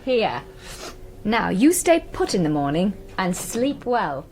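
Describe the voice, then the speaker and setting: An actress playing a brisk, bossy, strong-willed English farmer's wife with articulate speech in a period radio drama. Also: female voice, mid-range voice, big pitch swings, darkish tone. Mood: brisk, argumentative.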